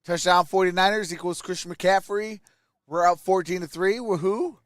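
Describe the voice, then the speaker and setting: A high-voiced man hosts a live football stream in a dry, close recording. He gives short, informal calls of the action and chats casually with viewers. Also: steady tone, moderate pace, a bit bright, dry recording. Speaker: live stream sports host. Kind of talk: live play-by-play and fan reactions with chat.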